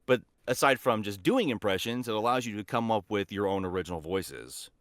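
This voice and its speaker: Deep-voiced man with smooth, clear delivery. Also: lively intonation, fairly quick, a bit bright, no echo. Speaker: voice actor guest. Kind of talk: casual podcast conversation.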